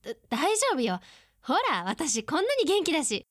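女性が演じる表情豊かな三十代の女. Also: female voice, high-pitched, sing-song, normal speed, no room sound, studio quality. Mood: probing, evasive. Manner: casual, teasing. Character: teasing, perceptive woman of thirty-five, putting on cheer. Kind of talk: audio drama dialogue.

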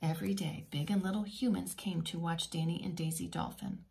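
Lady preschool teacher doing an expressive storytime read-aloud, explains stuff simply as she goes. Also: lowish voice, brisk, gravelly, slight background noise. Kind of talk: read-aloud of a children's picture book.

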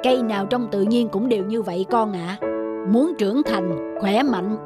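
A woman's voice: mid-range voice, normal speed, close to an everyday voice. A woman voicing a caring middle-aged father, patient and encouraging, in a children's cartoon. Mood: explaining. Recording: music behind the voice.

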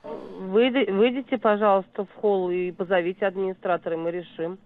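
A woman's voice: medium pitch, fairly even intonation, fairly quick. Matter-of-fact woman on a muffled phone line. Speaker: club receptionist answering phone. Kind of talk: brief phone conversation.

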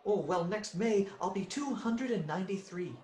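Whimsical old angel, swooping high voice. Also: male voice, lively intonation, moderate pace, darkish tone, roomy sound. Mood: innocent, chirpy. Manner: earnest, theatrical, fussily polite. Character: gentle, whimsical, very old male angel, eager and innocent. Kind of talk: radio drama acting.

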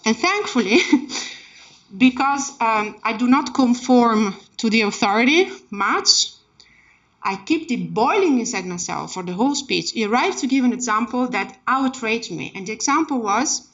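A woman speaks at a lectern with a fairly high voice, telling her story as an academic lecture. Her delivery is emphatic and passionate, leaning on key words. The recording from the seminar room is slightly muffled and lacking in detail. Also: lively intonation, normal speed, a bit bright. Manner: emphatic, dramatic, impassioned delivery. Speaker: keynote speaker, academic researcher.

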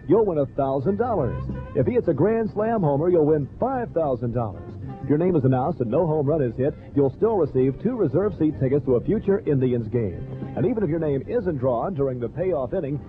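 An American man reading a scripted contest promo as a 1970s radio station announcer. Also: a little high. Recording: tinny lo-fi 1970s AM radio recording. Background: music bed.

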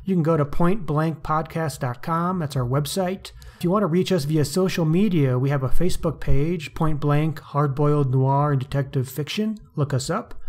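A man's voice: fairly high pitch, a little mellow. A man co-hosting a podcast, chatting casually with an enthusiastic, conversational manner. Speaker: podcast co-host.

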